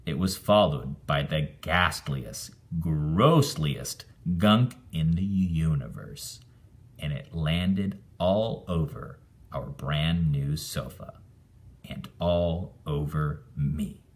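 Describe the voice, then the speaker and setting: A man reading a children's story aloud in an expressive, animated way, acting out the narration. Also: a bass voice, takes it slowly. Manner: animated, acts out the dialogue. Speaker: storybook reader.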